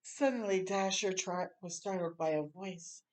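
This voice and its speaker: A woman reading a children's story aloud expressively, with animated asides and questions to young listeners. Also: lowish voice, a little slow.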